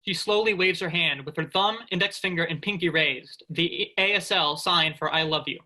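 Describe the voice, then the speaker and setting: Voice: mid-range voice, normal speed, bright, forceful tone, poor audio. A narrator reading stage directions from a script for a play.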